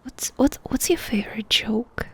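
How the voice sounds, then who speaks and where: A woman doing a soft-spoken ASMR roleplay, asking questions in an intimate, unhurried whisper. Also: on the high side, creaky, breathy edge.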